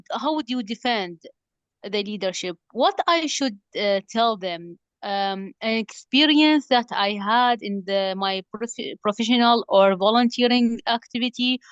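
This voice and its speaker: A woman's voice: medium pitch, clean tone, no echo. A woman taking part in an online session, asking a question hesitantly with many fillers. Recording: dull dry call audio.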